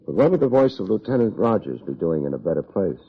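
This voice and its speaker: An American actor playing a wry, self-deprecating private investigator in an old-time radio drama, with a deadpan, wisecracking delivery. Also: male voice, low voice, quick pace, slightly rough, heavily acted. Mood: groggy, wry. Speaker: lead actor, narrator. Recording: muffled old radio recording.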